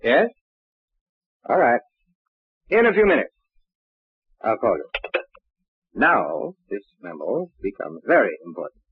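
A commanding police inspector in an old radio crime drama. His husky voice swings through firm, clipped phrases. The sound is narrow and muffled. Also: medium pitch, huge pitch swings, slow, pauses often, dry recording, exaggerated voice. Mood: brisk, stern. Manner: authoritative, clipped, matter-of-fact. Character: brisk, commanding male police inspector questioning suspects. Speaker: actor. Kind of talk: 1940s radio drama acting.

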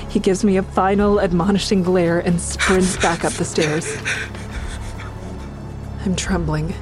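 An actress playing a frightened woman who tells her own ordeal in an audio drama, with tense, measured storytelling. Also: lowish voice, lightly acted. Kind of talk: dramatic first-person narration and dialogue. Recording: music behind the voice.

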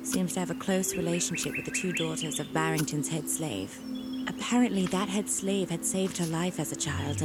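A proper, genteel lady of the 1860s played by an actress speaks in a fairly low female voice. She is courteous but gently reproving, as in an audio drama. Music is prominent behind her. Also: natural voice. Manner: polite, proper.

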